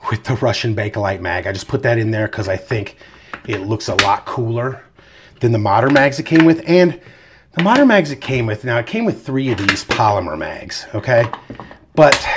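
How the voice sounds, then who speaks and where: A man's voice: fairly low pitch, slightly rough. A man with a congested voice from a cold, hosting his channel and chatting loosely through a product review. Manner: loose and chatty, with fillers and asides. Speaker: firearms reviewer, channel host.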